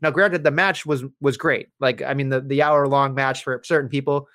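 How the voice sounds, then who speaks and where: A man co-hosting a casual podcast, ranting in an animated way with constant swearing. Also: mid-range voice, normal speed. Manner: profane, animated, rambling rants. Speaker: podcast co-host.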